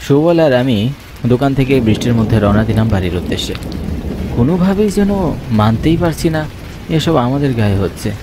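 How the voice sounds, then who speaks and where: A man tells a horror story in the first person. His voice sits at a middle pitch, with a dramatic, suspenseful delivery. Rain and thunder run noisily behind him. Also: lively intonation, normal speed, noisy background. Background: rain and thunder sound effects.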